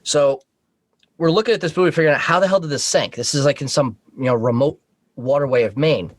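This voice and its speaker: A man's voice: on the high side, a little fast, dry recording. An American man telling anecdotes casually as a podcast guest, swearing and joking along the way. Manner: profane, joking. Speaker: podcast guest, Coast Guard veteran.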